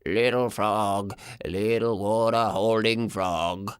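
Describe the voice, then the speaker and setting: A man voicing a frail, delirious old grandfather in an audiobook, mumbling and croaking dreamily. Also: lowish voice, fairly even intonation, slow pace, dry recording, studio quality, pitched below the performer's own voice. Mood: delirious, weak. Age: older. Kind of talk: audiobook character voice.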